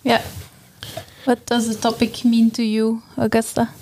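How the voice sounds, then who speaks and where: A woman's voice: a little high, monotone, a little slow, a little mellow. A woman hosting a podcast, asking brief, friendly interview questions. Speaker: podcast host.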